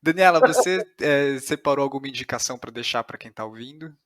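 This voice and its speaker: A man's voice: mid-range voice, lively intonation, a little fast, fairly bright tone. A Brazilian man hosting a podcast interview in a casual, conversational style.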